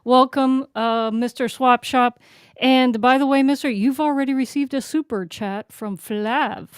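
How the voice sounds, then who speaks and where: A woman's voice: high-pitched, measured pace, clear, resonant tone, dry recording, clean studio sound. A woman co-hosting a live stream in casual conversation. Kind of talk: casual chat.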